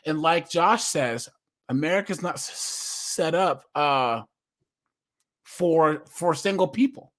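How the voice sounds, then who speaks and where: A man's voice: on the high side, slow pace, a bit bright. Emphatic man talking on a live call. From United States. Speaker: live stream participant. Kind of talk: casual group discussion.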